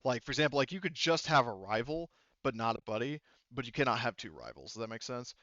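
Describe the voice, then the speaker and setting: A man running a tabletop game, explaining its rules in an informal, halting, instructional way. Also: mid-range voice, lively intonation, sharp tone, no room sound, dull audio. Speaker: game master.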